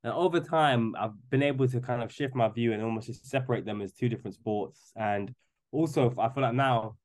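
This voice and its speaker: A man in his twenties in casual podcast chat, rambling and often stumbling over repeated words as he starts a thought. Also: lowish voice. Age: 20s. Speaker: podcast guest.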